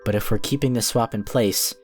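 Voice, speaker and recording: A man playing a calm, diplomatic cookie wizard who speaks in an earnest, formal, measured way in a fan cartoon drama. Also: on the low side, soft background music, lightly acted. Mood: calm, firm. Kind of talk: scripted fan audio drama acting.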